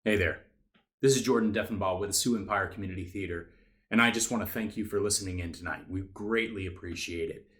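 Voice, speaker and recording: A man with a slightly low voice talks to listeners as a theater presenter. He is informal and chatty, feeling out his phrasing as he makes an appeal. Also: fast, fairly bright tone. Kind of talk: casual donation appeal to listeners.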